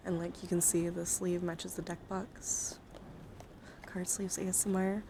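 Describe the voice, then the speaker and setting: A soft-spoken woman doing a casual ASMR live stream, often slipping into whispers and rambling informally, with playful asides. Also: on the low side, level tone, measured pace. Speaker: streamer, host of a live card-collection stream. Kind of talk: ASMR, casual live show-and-tell with chat. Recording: clear background noise and handling sounds.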